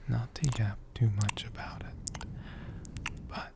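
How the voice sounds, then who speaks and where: An ASMR host whispering softly and gently, with a breathy voice and deliberate pauses. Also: mid-range voice, steady tone. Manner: gentle, slow, deliberate, with pauses mid-sentence. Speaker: host of an ASMR channel answering viewer questions. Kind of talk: soft-spoken whispered ASMR.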